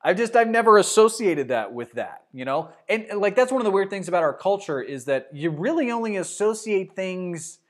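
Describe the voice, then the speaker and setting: A man's voice: high-pitched, big pitch swings, brisk, hardly any pauses. A man from Arkansas with a mostly neutral Midwestern-sounding voice, hosting a livestream show, rambling and sarcastic as he reads chat comments. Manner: rambling, sarcastic, trails off and restarts. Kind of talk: casual football talk, reading chat comments.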